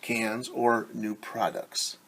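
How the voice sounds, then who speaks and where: A man's voice: on the low side, little pitch movement, slow pace, vocal fry, a bit bright, slight background noise, poor audio. A middle-aged man reading a picture book aloud as a teacher, stopping to explain it simply and repeating words for young children. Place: small room at home, indoors.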